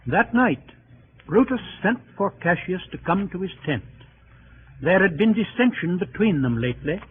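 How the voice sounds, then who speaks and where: An actor narrating as a solemn chronicler of ancient Rome in a 1930s radio drama, formal, measured and grave, on a narrow, hissy old broadcast recording. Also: male voice, high-pitched, animated.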